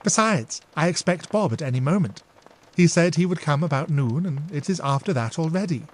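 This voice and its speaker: A man's voice: fairly high pitch, fairly quick, pitched above the performer's own voice. A man voicing a teasing dignified elder sister. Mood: reasoning. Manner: teasing, exclamatory, lively. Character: dignified warm-hearted elder sister, young woman.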